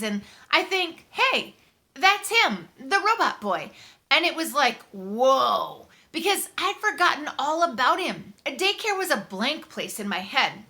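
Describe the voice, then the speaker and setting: A woman reading a story aloud in the first person, conversational and expressive, leaning on key words for dramatic emphasis. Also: high-pitched. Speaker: audiobook reader.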